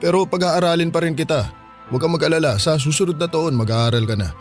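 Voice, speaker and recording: A man's voice: a little high, quick pace, soft background music, lightly acted. An actor playing a persuasive, evasive middle-aged father with a Filipino accent, casual and coaxing, in a radio drama.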